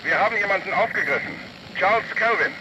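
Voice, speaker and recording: An actor playing a formal, factual police officer reporting over a radio in a crime play. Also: male voice, sits high, animated, fast talker. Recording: dull radio sound, loud noise.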